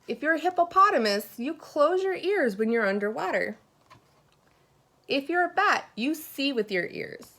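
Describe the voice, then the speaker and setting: Woman, fairly high voice, teacher, children's read-aloud, expressive delivery, questions to young listeners. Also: normal speed. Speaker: elementary science teacher reading aloud.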